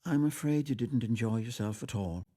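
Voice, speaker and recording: Man voicing a gently coaxing young niece. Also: mid-range voice, normal speed, no room sound, studio quality, voice pushed up, close to an everyday voice. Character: pacifying, polite young niece.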